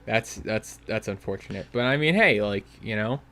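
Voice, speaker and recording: A man's voice: fairly low pitch, animated, normal speed, bright, forceful tone. A young man co-hosting a podcast, talking casually with constant "like" fillers and jokes. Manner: rambling, many "like" fillers, joking. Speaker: podcast co-host. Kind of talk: casual chat.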